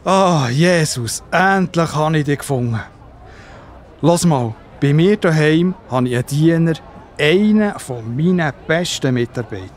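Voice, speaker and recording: A man acts out a Roman centurion in a children's audio play, speaking slowly. He is earnest, humble and pleading, a worried officer of firm faith. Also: a little high, expressive. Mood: anxious pleading.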